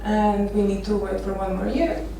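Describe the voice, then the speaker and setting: A woman in her thirties from Romania gives an informal talk as a photographer, telling personal stories with hesitant, halting phrasing. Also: mid-range voice, fairly even intonation, gravelly, warm tone. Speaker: architecture photographer giving a conference talk. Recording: echoey noisy venue, distant microphone.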